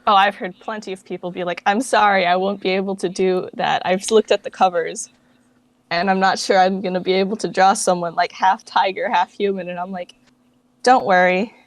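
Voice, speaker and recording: A woman speaks as a guest in a casual interview, in an informal way full of "like". Her pitch is average for a woman and the sound is dry, without room echo. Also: steady tone, brisk. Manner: informal, filler-heavy with frequent "like". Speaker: guest, fan project director.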